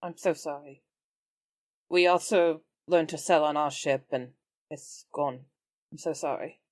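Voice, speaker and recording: A woman's voice: low-pitched, steady tone, a little slow, dry recording. Woman playing a reassuring, kindly female adventurer. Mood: sympathetic. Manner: reassuring, plain-spoken.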